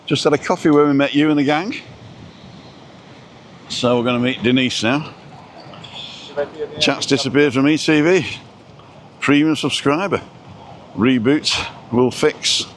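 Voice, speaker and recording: A man's voice: medium pitch, unhurried, long pauses. Chatty man live-streaming on a walk. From Wales. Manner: chatty, reads viewer comments aloud. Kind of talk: casual chat while walking. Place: outdoors on a seafront walk.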